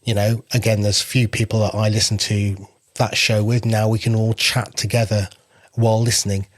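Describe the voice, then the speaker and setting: A man with a slightly husky voice, chatting casually on a podcast as he explains new app features step by step. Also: lowish voice, flat delivery, normal speed, no room sound. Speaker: podcast app founder and co-host.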